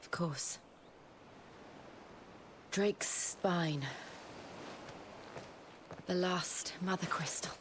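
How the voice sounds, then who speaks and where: A steadfast fantasy game heroine, soft-spoken and calm. Her lowish voice moves slowly and deliberately, with faint ambient noise around it. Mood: calm resolve. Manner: quiet, deliberate, supportive. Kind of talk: dramatic video game cutscene acting.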